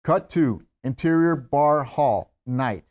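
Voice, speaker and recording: A man's voice: a little high, expressive, slow, a little mellow. A man narrating screenplay stage directions at a table read.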